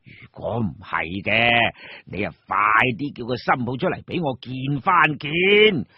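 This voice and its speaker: A man's voice: mid-range voice, expressive, a little slow, husky, no echo, lo-fi sound. A man playing a stern, exasperated elderly uncle with a Cantonese accent in a radio drama, scolding and insistently questioning. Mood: suspicious, insistent.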